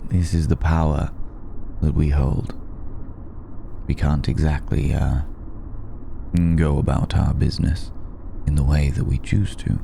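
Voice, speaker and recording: A man playing an elegant, centuries-old vampire in an ASMR roleplay, speaking with measured, darkly polite menace and weary hesitation. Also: slight background noise, heavily acted. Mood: calmly menacing. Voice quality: very deep, gravelly, dark. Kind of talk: slow intimate ASMR roleplay addressed to listener.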